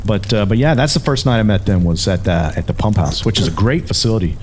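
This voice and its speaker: A man's voice: on the low side, lively intonation, quick pace, a little mellow, slight background noise. A man hosting a podcast, trading teasing jokes with his guest in casual chat. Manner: joking, teasing banter. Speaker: podcast host.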